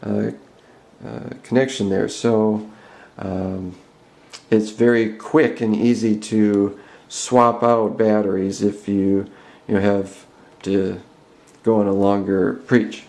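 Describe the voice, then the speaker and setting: A man giving an unscripted, explanatory video tour in a halting, hesitant, plain-spoken way. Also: fairly low pitch, flat delivery, gravelly, darkish tone. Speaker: amateur product demonstrator. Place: indoor room with bare walls. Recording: roomy indoor echo.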